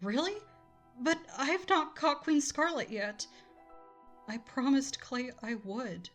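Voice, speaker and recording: A woman playing a fierce, lonely young fire dragon with a hot temper in an audio drama, forceful and defensive. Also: high voice, slow, faint music behind. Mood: anxious, hopeful.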